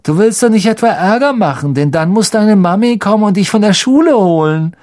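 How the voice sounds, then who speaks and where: A man voicing an arrogant schoolboy bully who mocks and taunts in a shrill, high voice for an audiobook. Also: big pitch swings, measured pace, full character voice. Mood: sneering.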